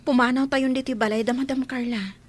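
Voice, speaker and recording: A woman plays a meek, dutiful housemaid in a radio drama. She has a high voice with a breathy, husky edge, submissive and subdued. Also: fairly even intonation, fairly quick. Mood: resigned, gentle. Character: meek, dutiful housemaid Felisa, resigned and gentle-tempered. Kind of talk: Ilocano radio drama acting.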